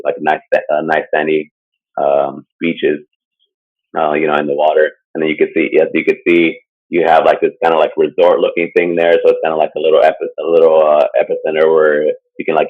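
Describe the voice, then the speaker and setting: A man co-hosting a casual podcast, talking through a topic in a loose, rambling way full of fillers. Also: a bass voice. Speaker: podcast co-host presenting a topic. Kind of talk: casual chat. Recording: dull lo-fi sound.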